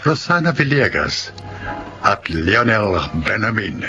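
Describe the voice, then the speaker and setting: Slow, expressive male radio drama announcer. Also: lowish voice. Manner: measured, deliberate presentation. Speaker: announcer and host. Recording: dull lo-fi broadcast under music.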